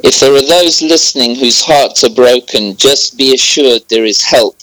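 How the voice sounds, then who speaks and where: A man with a mixed accent that is hard to place, talking as a guest in an interview. His voice is bright and forceful, recorded dry and close. He speaks earnestly, measured and unhurried, with some hesitations. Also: medium pitch, dry recording, poor audio. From southern Africa. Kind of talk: interview on air.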